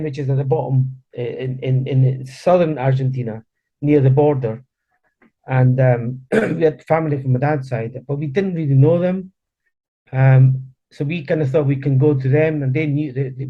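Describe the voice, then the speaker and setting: A middle-aged man, a native Spanish speaker from Chile, speaks as an interview guest. He tells a personal story in a conversational way, halting and restarting his phrases. The recording is slightly dull and lacks detail. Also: on the high side.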